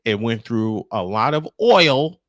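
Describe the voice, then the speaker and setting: A man's voice: mid-range voice, lively intonation, slow pace, fairly bright tone, dry recording. An American man doing baseball play-by-play mixed with casual chat, telling things in a folksy, repetitive way.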